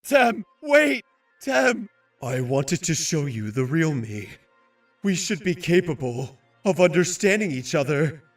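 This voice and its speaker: A man's voice: a little high, very animated, slow, far from the mic, faint music behind, heavily acted. A man playing a big young male carnivore, earnest and pleading, in an anime fan dub. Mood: desperate pleading.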